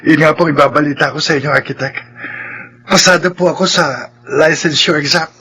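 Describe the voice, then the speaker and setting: A man's voice: on the high side, steady tone, a little fast, bright, forceful tone, slight background noise. A man acting in a Filipino radio drama as an earnest, polite young working man in love. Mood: respectful, grateful. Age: young adult. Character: earnest, respectful young construction worker, torn lover.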